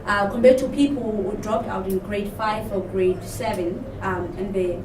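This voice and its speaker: A woman's voice: mid-range voice, steady tone, moderate pace, recorded from a distance. A woman, speaking thoughtfully and searching for words. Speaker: class participant. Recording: echoey room from afar, noisy.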